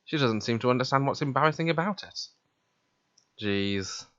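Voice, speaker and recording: Man, mid-range pitch, first-person story narration, acted dialogue, dry sound. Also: animated, normal speed, a bit bright, dry recording, dull audio. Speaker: player reading a visual novel aloud.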